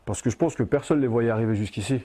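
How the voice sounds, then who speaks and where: A footballer answering interview questions in a calm, plain-spoken way. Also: male voice, mid-range voice, very fast.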